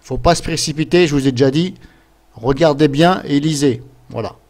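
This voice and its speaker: A man presenting a casual screen-capture software tutorial, explaining things step by step in an informal, chatty way. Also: a little high, moderate pace. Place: voice-over recorded at a computer during screen capture.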